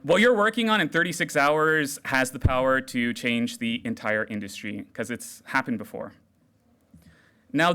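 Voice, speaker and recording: Man, stage speaker, loud and playful with the audience, higher register. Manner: loud, animated, jokey with the crowd. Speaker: developer relations speaker. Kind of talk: energetic live tech talk. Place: large room at a hackathon event.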